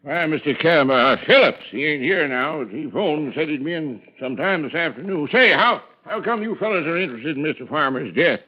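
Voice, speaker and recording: A man's voice: fairly high pitch, animated, a little fast, hardly any pauses. An actor playing a talkative, folksy older male lodge foreman with a rustic drawl, in a 1950s radio drama. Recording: dull old radio broadcast.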